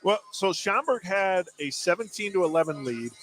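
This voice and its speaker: A man's voice: high-pitched, animated, normal speed, a bit bright, faint music behind. An American man giving conversational color commentary during a live basketball broadcast.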